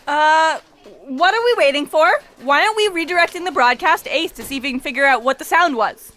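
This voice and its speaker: An anxious, demanding fact-checker, played by a woman, has a high, sharp, bright voice. She is excitable, in comic audio-theatre style, over faint music. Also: a little fast. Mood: urgent. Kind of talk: comedic audio theatre acting.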